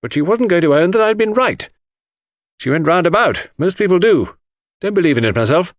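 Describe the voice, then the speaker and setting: Man voicing a blunt older woman, pitched high. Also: animated, fairly quick, dry recording, clean studio sound. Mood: indignant, blunt. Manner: curt, emphatic, dismissive. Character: blunt, scornful, stubborn older woman, loyal friend. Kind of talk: audiobook character dialogue.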